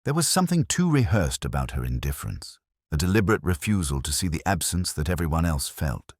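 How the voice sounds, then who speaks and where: A man reading a scripted first-person detective story in a measured, literary, brooding way. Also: very deep voice, big pitch swings, no room sound, studio quality. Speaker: narrator.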